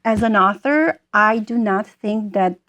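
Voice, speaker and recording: A woman in her forties from Bosnia, speaking English, answering as an author in an interview with thoughtful pauses and fillers. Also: medium pitch, a bit bright. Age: 40s. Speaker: author and guest.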